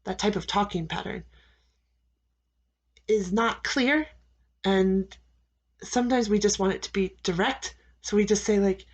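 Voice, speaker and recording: An American woman teaching English, giving students slow, deliberate advice and repeating key phrases with frequent "okay?" checks. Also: mid-range voice, muffled recording. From United States. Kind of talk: classroom advice talk to students.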